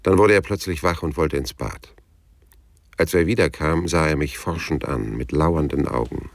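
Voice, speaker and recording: An actor playing a hard-boiled private detective who narrates a radio crime drama in a measured, sober, laconic way. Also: male voice, very deep voice, creaky, dry recording, clean studio sound, full character voice. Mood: sober, reflective. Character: hard-boiled male private detective recounting his story. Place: dry studio.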